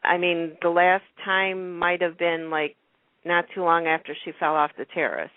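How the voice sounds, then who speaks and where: Low-pitched woman hosting over the phone. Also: fairly even intonation, moderate pace, no room sound. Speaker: radio show host. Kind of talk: casual chat about TV soaps. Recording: narrow telephone-line radio sound.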